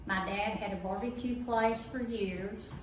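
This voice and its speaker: A woman's voice: mid-range voice, steady tone, unhurried, slight background noise. Earnest woman in her eighties. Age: 80s. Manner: earnest and candid. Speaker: interviewee. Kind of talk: casual reflective talk. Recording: very echoey room, distant mic.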